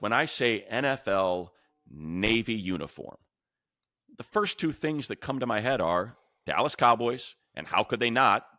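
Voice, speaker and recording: American man, very low pitch, punchy bright tone, emphatic repetition, casual sports co-host, dry sound. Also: dry recording. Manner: emphatic, repeats phrases for effect. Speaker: sports podcast co-host and commentator. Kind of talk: casual, opinionated sports chat.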